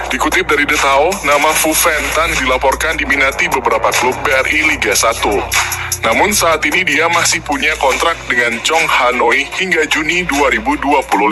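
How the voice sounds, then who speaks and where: Indonesian male sports news narrator over music. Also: fairly high pitch. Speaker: news narrator for a football channel. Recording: music bed under the voice. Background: background music.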